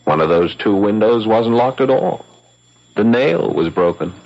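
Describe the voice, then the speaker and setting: A man's voice: lowish voice, lively intonation, unhurried, a little mellow. An actor playing an earnest, bumbling, easily shaken young policeman in a 1970s radio drama, hesitant and repeating himself. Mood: pompous, uncertain. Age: young adult. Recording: muffled 1970s radio broadcast.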